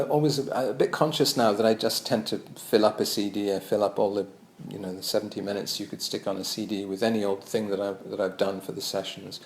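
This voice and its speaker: A man's voice: fairly low pitch, reverberant, a little hiss or hum. A man lecturing on his own album in a casual, musing way, hesitant, with many ums and restarts.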